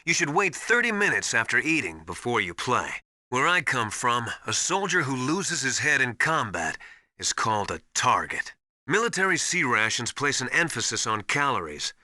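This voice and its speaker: An actor playing a stern veteran military survival instructor in a video game, briskly lecturing with emphatic, commanding authority. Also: male voice, medium pitch, very animated, sharp tone, no echo, studio quality. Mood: firm, advising. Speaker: mission survival adviser. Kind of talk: video game voice acting, instructional radio briefings.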